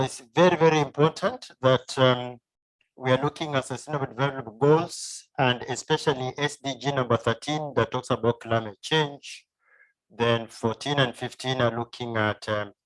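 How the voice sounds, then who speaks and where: A man with a Kenyan accent speaks at a middle pitch as an academic guest in an interview. He explains things formally and methodically, point after point. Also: rough recording. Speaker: university professor, interview guest.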